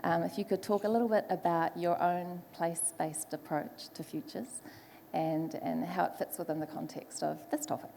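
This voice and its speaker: A woman's voice: lowish voice, fairly even intonation, moderate pace, vocal fry, big-room sound, a little hiss or hum. A woman from New Zealand with a New Zealand accent, moderating a conference panel, with many ums as she introduces the speakers. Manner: warm, many ums, greets in Māori. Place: large conference hall.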